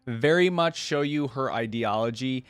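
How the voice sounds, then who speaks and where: A man's voice: medium pitch, normal speed, smooth, dry recording. A man hosting a reaction video, giving casual, musing commentary. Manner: musing, agreeing in short interjections.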